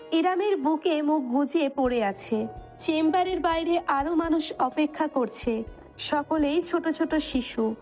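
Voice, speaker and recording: Lady narrating audio love story, high pitched, scripted dramatic reading, light music behind. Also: a little mellow.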